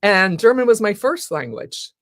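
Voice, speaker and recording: Chatty excited lady hosting a live interview, dry mic. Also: mid-range voice, normal speed, dry recording. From United States. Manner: effusive and enthusiastic. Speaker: talk show host.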